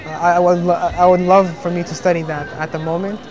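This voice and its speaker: A young man from Somalia, a student, speaking earnestly in an interview with many pauses and restarts. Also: high-pitched, little pitch movement, lo-fi sound, a crowd in the background. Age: young adult. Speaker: engineering student. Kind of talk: interview testimony.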